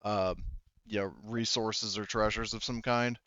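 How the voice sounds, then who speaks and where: A man's voice: lowish voice, steady tone, a little slow, a bit bright. A man speaking casually as game master of a tabletop game, explaining things with hesitant asides. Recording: muffled, slightly lo-fi voice chat mic.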